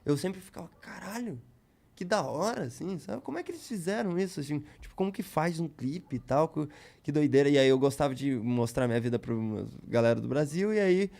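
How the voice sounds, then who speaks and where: A man's voice: a little high, animated, brisk, gravelly, a little mellow. Slangy Brazilian man telling stories. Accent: Brazilian Portuguese. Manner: loose, slangy storytelling with many fillers. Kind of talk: casual podcast chat.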